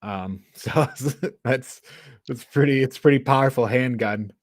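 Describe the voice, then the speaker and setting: A man's voice: medium pitch, a little slow, no echo, clean studio sound. Man in a casual, exasperated movie chat. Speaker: podcast co-host reviewing a film.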